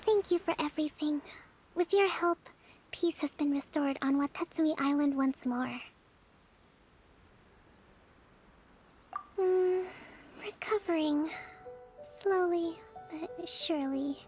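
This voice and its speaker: A composed young island leader, high and clear in tone, measured and authoritative. She sounds like a video game character, with soft music underneath. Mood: tired. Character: calm young female island leader, strategist. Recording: game audio with faint music.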